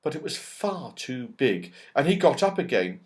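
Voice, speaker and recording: A man's voice: medium pitch, sing-song, moderate pace. A man narrating a children's story expressively, with dramatic and emphatic phrasing. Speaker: audiobook narrator.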